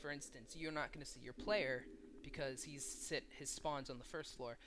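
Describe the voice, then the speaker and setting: A man hosting a casual screen-share tutorial, talking haltingly as he thinks aloud and demonstrates. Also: medium pitch, fairly even intonation, noisy background. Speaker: tutorial host. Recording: music playing behind the voice. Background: loud background music.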